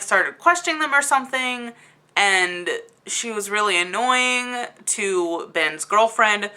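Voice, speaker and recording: A woman's voice: a little high, pushed and bright. A woman giving a casual, rambling video review in an exasperated, emphatic and incredulous manner. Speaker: book review vlogger.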